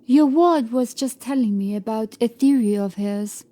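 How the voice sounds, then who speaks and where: A woman's voice: fairly high pitch, normal speed, mellow, close to an everyday voice. A woman voicing a stern, haughty prioress, defensive and emphatic, in a horror story. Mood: guarded.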